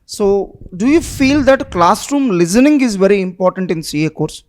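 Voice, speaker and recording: A man teaching at a coaching institute interviews a former student with deliberate questions. His voice sits high for a man, and he encourages the student with short remarks. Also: animated, darkish tone.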